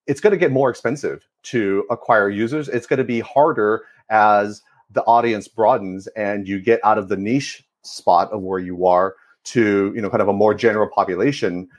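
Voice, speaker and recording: Lower-voiced man explaining in measured talk. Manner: measured, many "you know" fillers. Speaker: podcast guest, marketing leader. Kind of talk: conversational interview answers.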